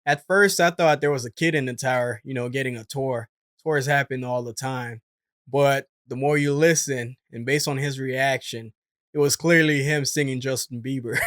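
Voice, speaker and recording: A man's voice: medium pitch, fairly even intonation, normal speed, a bit bright, no echo. Casual man explaining in a dry room. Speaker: host, former military air traffic controller.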